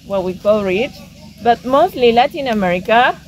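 A woman's voice: a little high, expressive, normal speed, a little mellow, rough recording. A young woman from Argentina talks casually in a rural yard, picked up close by a camera mic. She often repeats a word or two while she finds the next one. A faint outdoor hum sits behind her voice. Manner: repeats words while searching for them. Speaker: visiting guest.

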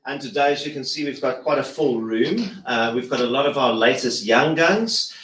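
A man in his thirties hosting a relaxed group conversation in an office meeting room, stopping and restarting often. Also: medium pitch, reverberant, low-quality recording. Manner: relaxed, halting, many restarts. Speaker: host.

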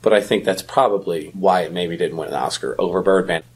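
A middle-aged man chatting casually about films as a podcast co-host, talkative and quick to laugh. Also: low-pitched, husky.

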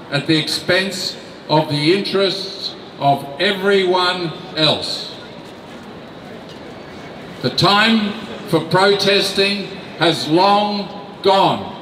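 An older man reading a prepared speech at an outdoor rally into a mic on a stand, in a slow, deliberate, emphatic way. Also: a little high, a bit bright, slight background noise. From Australia. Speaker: rally speaker. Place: outdoor city square. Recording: echoey outdoor sound from a distant camera.

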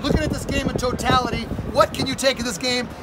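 A man's voice: very high-pitched, steady tone, fast. A man hosting a postgame fan interview outdoors in a stadium at night, with a hyped announcer-style delivery. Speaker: host. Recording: loud outdoor stadium noise.

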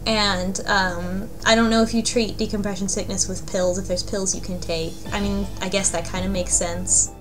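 A woman's voice: medium pitch, little pitch movement, normal speed, few pauses, over music, poor audio. A woman hosting a casual group discussion of a game, talking in a wry, joking way.

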